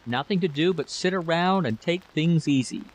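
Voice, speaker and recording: A man's voice: on the high side, normal speed, voice pushed up, natural voice, poor audio. A man voicing a proud, irritable husband in a story, complacent and brushing off worries. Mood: reassuring. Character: dismissive war-technician husband, proud and irritable.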